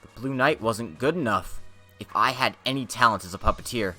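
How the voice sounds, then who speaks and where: A man voicing an earnest young puppeteer servant, halting and strained in his pleading. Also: mid-range voice, sharp tone, faint music behind. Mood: self-blaming.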